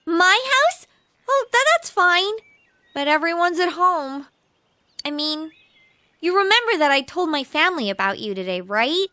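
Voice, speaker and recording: Bashful teenage girl, American accent, anime game dub, lively swooping pitch, bright tone, earnest, flustered. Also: measured pace, a little hiss or hum, full character voice. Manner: perky, flustered, expressive.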